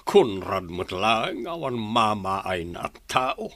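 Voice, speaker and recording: A man's voice: fairly low pitch, sing-song, slow pace, bright, forceful tone, no echo, full character voice. A man with an Ilocano accent playing a stingy, scornful village landowner in an audio drama. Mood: dismissive. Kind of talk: Ilocano radio-style drama acting.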